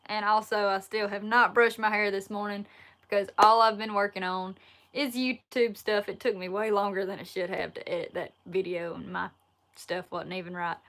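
A woman in her twenties with a Southern Alabama accent, chatting casually on a livestream and answering viewer questions in a relaxed, folksy way from a wood-paneled room. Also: medium pitch, moderate pace. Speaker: outdoors YouTuber answering live chat. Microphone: laptop or phone camera at arm's length.

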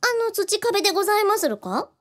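A woman's voice: very high-pitched, sing-song, fast, a bit bright, exaggerated voice. A woman playing a precocious genius girl in an audio drama, quaintly formal and inquisitive, with old-fashioned courtly speech. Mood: intrigued. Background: background music.

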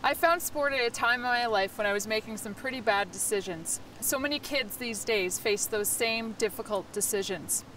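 Bright-voiced woman, polished promo delivery. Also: on the high side, normal speed, hardly any pauses. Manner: upbeat, polished. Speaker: program ambassador. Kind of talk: scripted promotional address. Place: outdoors in a forest. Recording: voice a bit distant, faint outdoor noise.

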